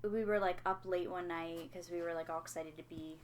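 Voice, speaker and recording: A young woman co-hosting a casual podcast, with a soft, soothing voice, telling long, rambling stories full of ums and likes. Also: on the low side, fairly even intonation, fairly quick, a little hiss or hum. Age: young adult. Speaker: podcast co-host. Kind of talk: casual chat.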